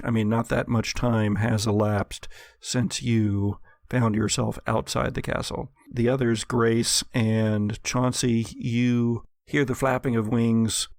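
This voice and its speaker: A man's voice: medium pitch, fairly even intonation, takes it slowly, creaky, no room sound, clean studio sound. A man running a tabletop roleplaying game as game master, moving between dramatic scene narration and casual rulings.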